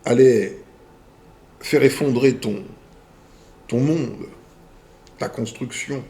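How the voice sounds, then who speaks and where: A man hosting a video channel. He reads viewer messages aloud and answers them in a deliberate way, in short phrases with pauses. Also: mid-range voice, warm tone.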